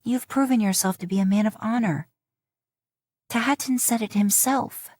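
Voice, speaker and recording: Audiobook heroine: a proud young wife, firm and insistent, read by the narrator. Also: female voice, on the high side, moderate pace, a little mellow, no room sound, pitched above the performer's own voice, natural voice.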